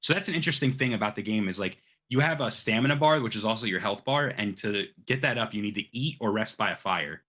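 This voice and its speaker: Male podcast host, bright forward voice, eager and informal, sweary casual gaming chat. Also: fairly low pitch, lively intonation, fast, hardly any pauses.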